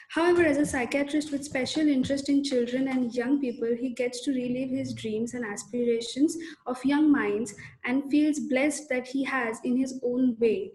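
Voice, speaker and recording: A woman's voice: high-pitched, a little mellow. A woman, hosting an online programme and formally reading out a speaker introduction. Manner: formal, polite, read-aloud. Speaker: host, emcee. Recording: echoey room.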